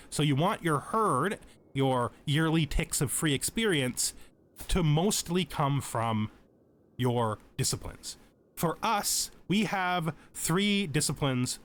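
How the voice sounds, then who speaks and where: A man's voice: a little high, slight background noise. Man casually explaining a video game. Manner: explanatory, thinking aloud. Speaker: video game streamer giving a tutorial. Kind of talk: casual let's play tutorial commentary.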